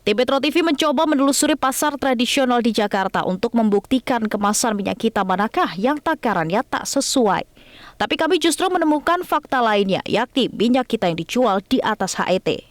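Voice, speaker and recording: A woman's voice: a little high, lively intonation, a little fast, no room sound. A woman delivering scripted television news narration with formal, emphatic phrasing. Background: faint music bed.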